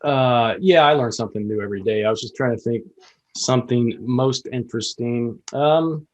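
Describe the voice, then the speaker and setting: A man's voice: medium pitch, moderate pace, darkish tone. A man co-hosting a podcast, chatting casually in a rambling, self-deprecating way with many fillers. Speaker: co-host.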